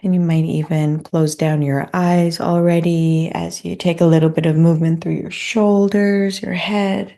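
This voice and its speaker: A woman leading a guided meditation in a gentle, soothing, unhurried manner. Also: on the low side, level tone, vocal fry.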